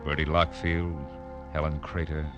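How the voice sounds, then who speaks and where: An actor playing a weary, wry private detective in a 1940s radio noir drama, with a sardonic delivery. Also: male voice, very deep voice, little pitch movement, moderate pace, dull audio, over music. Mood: world-weary.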